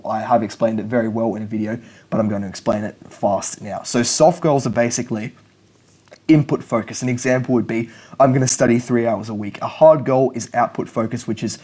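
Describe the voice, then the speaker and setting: A man's voice: mid-range voice, fairly quick, warm tone. A young man who speaks English natively, explaining language learning in a casual video lesson, often repeating and restarting words as he goes.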